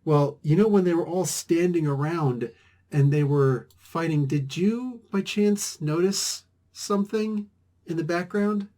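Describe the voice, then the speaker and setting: A man's voice: a little high, measured pace. Jersey-accented man hosting a podcast. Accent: New Jersey. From New Jersey. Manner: laughs often, animated banter. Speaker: podcast host. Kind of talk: casual chat.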